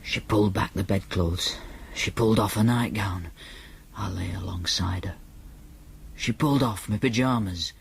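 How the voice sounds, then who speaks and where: A man's voice: fairly low pitch, moderate pace, creaky, husky, heavily acted. An actor narrating in first person as a young man with a Northern English accent, telling the story in a dry, matter-of-fact way. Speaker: narrator and main character.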